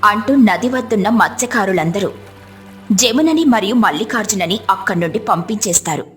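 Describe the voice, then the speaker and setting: A woman's voice: mid-range voice, expressive, normal speed, faint music behind. A woman storyteller reading scripted narration for a moral tale.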